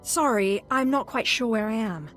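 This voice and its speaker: An actress playing a composed, courteous Sith apprentice in a video game, with a poised, measured manner. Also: female voice, fairly high pitch, expressive, fairly bright tone, soft background music. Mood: polite.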